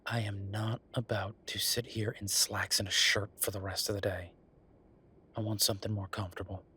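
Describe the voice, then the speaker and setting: A man playing an easygoing, affectionate werewolf bureaucrat in an intimate boyfriend roleplay audio, talking casually and haltingly with a husky voice. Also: lowish voice, flat delivery, sharp tone, heavily acted. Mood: weary, reassuring.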